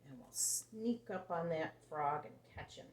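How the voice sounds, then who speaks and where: A woman's voice: sits low, measured pace. Woman as an emphatic young boy, echoey room. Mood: scheming, urging. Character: young boy in a picture book, bossy and exasperated. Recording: roomy echo, faint background noise.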